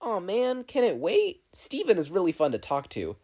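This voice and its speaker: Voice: medium pitch, huge pitch swings, normal speed, dark tone, voice pushed up. A narrator voicing an eager, earnest young girl in a fan fiction audiobook. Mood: pleading. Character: earnest, bright young girl, protective of her friend.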